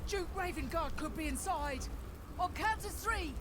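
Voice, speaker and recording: A woman's voice: extremely high pitch, unhurried. A woman playing a commanding soldier who barks urgent orders in a video game. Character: commanding female soldier shouting orders at a burning building. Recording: very noisy game audio, roaring ambience behind.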